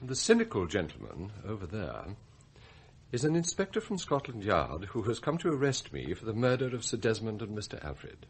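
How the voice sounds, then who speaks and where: An actor playing a proud, bitter, plotting butler in a radio drama, with an English accent and formal, theatrical phrasing. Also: male voice, lowish voice, huge pitch swings, moderate pace, slight background noise. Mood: stiff, sardonic. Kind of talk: radio drama acting, monologue and dialogue.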